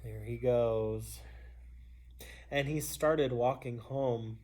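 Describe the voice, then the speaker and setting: A woman reading a children's story aloud expressively, pausing between phrases and adding chatty asides. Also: rumbling low, dark tone. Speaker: storybook reader.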